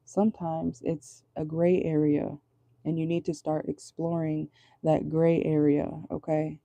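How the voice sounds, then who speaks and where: A woman with a low voice giving a casual tarot reading from home, chatty and rambling, often checking in with her viewers. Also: level tone, a little slow, vocal fry, mellow, dry recording. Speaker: tarot reader. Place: at home indoors at night.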